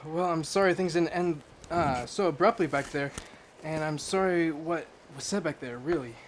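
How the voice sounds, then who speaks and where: A man playing a low-key young vigilante in an understated, wry way in an amateur audio drama. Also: normal speed, slight background noise, close to an everyday voice. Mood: apologetic.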